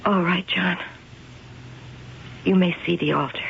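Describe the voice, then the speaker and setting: An actress playing a mysterious woman who keeps cats and claims to have no past, speaking in a cool, measured manner in an old radio drama. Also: lowish voice, steady tone, creaky, background noise. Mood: searching. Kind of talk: 1970s radio drama acting. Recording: dull old radio broadcast with music.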